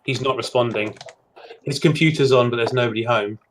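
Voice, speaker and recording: Loud, chatty man in casual talk. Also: medium pitch, fairly quick, crowd noise. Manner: loud voice, chatty, laughs easily. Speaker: stream co-host. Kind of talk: casual chat.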